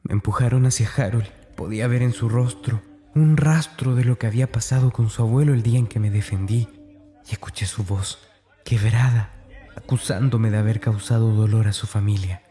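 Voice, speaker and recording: Un hombre interpretando a un escolar atormentado, voz muy oscura. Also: male voice, lowish voice, fairly even intonation, normal speed, warm tone, lightly acted. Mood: frightened. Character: tormented, fearful schoolboy writing in his diary, 1965. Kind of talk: dramatic first-person diary narration.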